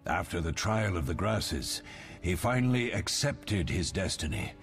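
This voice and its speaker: Older game mentor, wise, fatherly, low voice, lively intonation, measured instruction. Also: faint music behind, full character voice. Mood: reflective. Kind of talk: video game voice acting.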